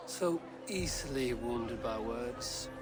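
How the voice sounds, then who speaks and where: A man's voice: medium pitch, animated, slow pace, music in the background. A man playing a defiant, protective Viking warrior in a video game, dry and needling, with a self-assured delivery. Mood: taunting. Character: defiant Viking clan warrior, cool, sharp-tongued, protective.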